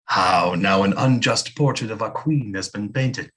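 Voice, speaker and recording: Man acting as court seer and physician, low pitch, grandiloquent, flattering, theatrical, audio play. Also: measured pace.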